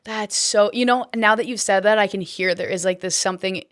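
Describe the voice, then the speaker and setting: A woman comedian, a guest on a podcast, with a high speaking voice, chatting earnestly and giggling often. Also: a little fast, dry recording, clean studio sound. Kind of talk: casual comedic chat.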